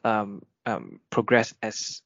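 A man's voice: on the low side, big pitch swings, takes it slowly, vocal fry, a bit bright, no echo. A man in a casual discussion, rambling with many fillers and restarts. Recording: dull dry recording.

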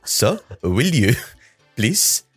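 A man voicing a shy, insecure male racing driver with a put-on Nordic accent, high and soft. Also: big pitch swings, extremely slow, breathy edge, faint music behind, full character voice. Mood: bashful.

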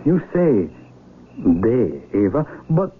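A man's voice: mid-range voice, wildly swooping pitch, slow, husky, exaggerated voice. An actor playing an amazed, earnest male traveler in a radio drama, speaking with emphatic wonder. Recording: lo-fi, noisy old radio broadcast.

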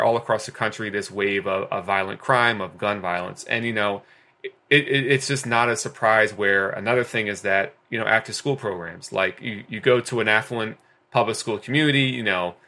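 A man with a low, bright, forceful voice speaks earnestly as a guest in a conversation. He talks in long runs full of fillers and restarts. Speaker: guest teacher. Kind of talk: conversational discussion.

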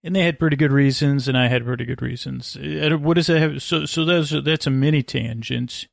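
A man's voice: medium pitch, brisk, vocal fry, dry recording, studio quality. A man hosting a bedtime podcast in a meandering, stammering monologue, with a mumbling, mush-mouthed delivery. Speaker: podcast host. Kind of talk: rambling, tangent-filled bedtime podcast monologue.

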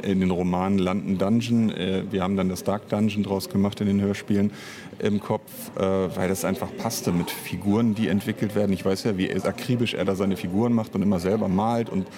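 A man's voice: sits low, fairly even intonation, brisk, crowd noise. A man speaking as an interview guest in casual conversation, searching for words as he explains at length. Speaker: interview guest, audio drama author and director.

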